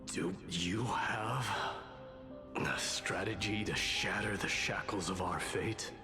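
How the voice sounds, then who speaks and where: An actor playing a stern, fatalistic warrior lord in a video game, with a stoic, weighty, formal delivery. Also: male voice, low-pitched, slow, over music. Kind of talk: dramatic video game voice acting.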